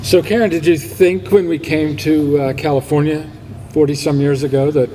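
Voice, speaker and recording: A man in his sixties giving an informal, reflective speech in a halting way. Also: a little high, steady tone. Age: 60s. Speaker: donor speaking at a ceremony. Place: large room, public event. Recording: echoey hall with background noise.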